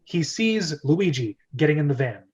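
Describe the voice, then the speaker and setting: A man's voice: fairly high pitch, very animated, normal speed, slightly rough. A man hosting a casual amateur screenplay read, narrating stage directions and breaking off into laughing asides. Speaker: host and narrator of a script read-through.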